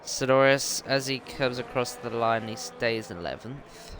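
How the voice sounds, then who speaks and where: A man's voice: mid-range voice, measured pace, noisy background. A man giving play-by-play commentary on an online racing league, his voice worn and tired from streaming, with frequent ums. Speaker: race commentator.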